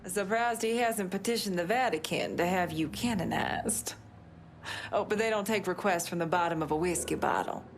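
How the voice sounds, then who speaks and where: An actress playing a tough, sharp-tongued, candid woman in a crime video game. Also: on the low side, normal speed, slight background noise, natural voice. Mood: wry. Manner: frank, wry, swearing.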